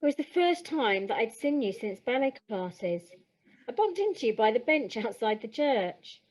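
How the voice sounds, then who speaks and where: An actress playing an earnest, wounded young stage hopeful, speaking plainly and from the heart in an audio play. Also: female voice, fairly high pitch, sing-song, normal speed, a little mellow, low-quality recording. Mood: wistful.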